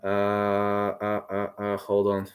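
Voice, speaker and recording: A man in his thirties in casual unscripted livestream chat, halting and repeating himself as he thinks aloud while answering viewers. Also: on the low side, monotone, soft background music. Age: 30s.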